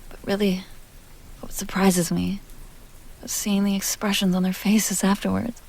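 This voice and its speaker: A woman's voice: medium pitch, level tone, darkish tone, poor audio. A woman playing an ageless vampire heiress, teasing, tender and wistful, in an intimate, half-whispered ASMR roleplay. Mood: wistful, confiding. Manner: breathy, often whispering, unhurried, coaxing.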